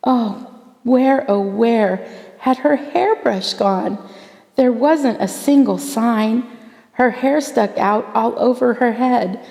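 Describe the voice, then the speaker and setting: A woman with a fairly high voice reads a rhyming storybook to children, taking her time over each phrase. Her delivery is theatrical, with deliberate emphasis on key words. A long reverb trails behind her voice. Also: creaky, dark tone. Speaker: pastor reading a children's story aloud. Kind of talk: expressive storybook read-aloud in rhyming verse.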